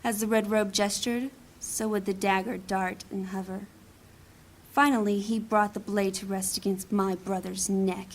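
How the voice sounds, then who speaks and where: A woman playing a proud, embittered thief in a fantasy audio drama, speaking formally and gravely as if giving testimony. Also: mid-range voice. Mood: bitter grief. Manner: formal, grave, deliberate storytelling.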